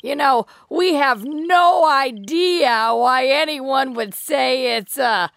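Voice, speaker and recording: A woman's voice: high-pitched, slow, creaky, a bit bright, dry recording, heavily acted. A woman playing a sly gossiping clam in a children's audio folktale, coy and teasing as she draws out her words. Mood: coy, scheming.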